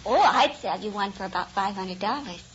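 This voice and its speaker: A woman's voice: medium pitch, lively intonation, normal speed. An American woman from Kansas chatting good-humouredly as a radio quiz contestant, explaining things a little hesitantly. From Kansas, USA. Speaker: quiz show contestant, chinchilla rancher. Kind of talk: unscripted-sounding interview chat on radio quiz. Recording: dull noisy old radio recording, studio audience.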